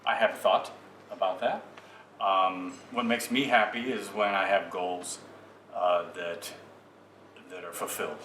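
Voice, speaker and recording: A man's voice: low-pitched, fairly even intonation, measured pace, sharp tone. An American man talking casually as a guest in a group conversation. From United States. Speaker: guest in discussion. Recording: echoey room, slightly noisy, distant mic.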